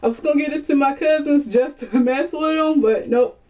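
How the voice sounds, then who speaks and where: Chatty woman, bright high voice. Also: brisk. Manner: chatty, animated, addresses viewers as y'all. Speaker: vlogger. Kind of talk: casual haul video. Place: small bedroom indoors.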